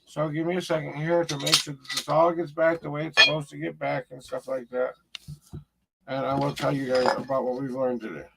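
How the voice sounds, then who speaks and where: Male ghost-hunting streamer, gravelly and slightly high-pitched, casual and rambling. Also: flat delivery, a little fast, heavy background noise. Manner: rambling, repeats himself while showing objects. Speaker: paranormal investigator streaming live. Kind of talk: casual live-stream talk.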